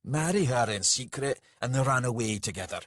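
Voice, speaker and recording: Guy doing a big scowly storybook brawler, husky, blunt n sweary, voice goes up and down loads. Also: mid-range voice, lively intonation, normal speed, no room sound, clean studio sound, pitched above the performer's own voice, close to an everyday voice. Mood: eager, goading. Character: big, fierce, scowling male brawler, blunt and foul-mouthed.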